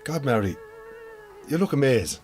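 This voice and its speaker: An actor playing a weary, evasive Irish farmer husband in a naturalistic radio drama, with an Irish accent. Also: male voice, medium pitch, sing-song, moderate pace, a little mellow, faint music behind, close to an everyday voice. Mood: pleasantly surprised.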